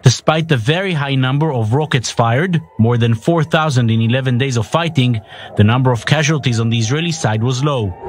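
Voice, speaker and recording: A man's voice: mid-range voice, a little fast, background noise. A man reading a scripted news report as a narrator.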